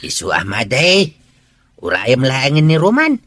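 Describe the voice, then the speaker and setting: A man's voice: medium pitch, wildly swooping pitch, unhurried, a bit bright, a little hiss or hum, heavily acted. A man with a Filipino accent playing a teasing, folksy older village uncle in a radio drama. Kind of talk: Ilocano radio drama acting.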